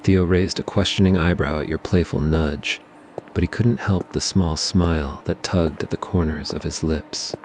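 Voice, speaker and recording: A man narrating a scripted ASMR roleplay story in a measured, even way. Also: deep voice, a little hiss or hum.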